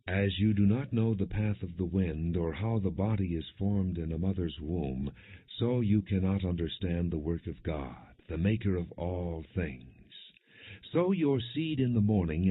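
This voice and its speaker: A man with a deep voice and a husky grain reads scripture as an audiobook narrator. His phrasing is measured and solemn, each clause set down deliberately. The sound is thin and band-limited, as if heard through a telephone. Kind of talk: scripted scripture reading, non-dramatized audiobook. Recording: muffled, narrow phone-like sound.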